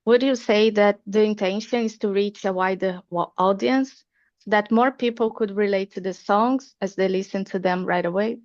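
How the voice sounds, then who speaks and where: A woman's voice: medium pitch, moderate pace, a little mellow, no echo, muffled recording. A woman interviewer reading her questions carefully and evenly.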